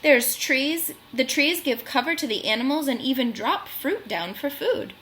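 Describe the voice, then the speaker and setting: A woman's voice: sits high, expressive, moderate pace, a bit bright. A woman voicing a helpful, encouraging young female animal in a children's storybook.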